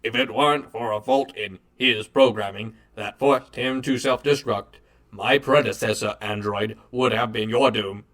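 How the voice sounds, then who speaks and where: A man voicing a scheming male android villain who speaks in a measured, calculating way. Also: on the low side, fairly even intonation, fairly bright tone. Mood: gloating. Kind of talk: amateur radio drama acting.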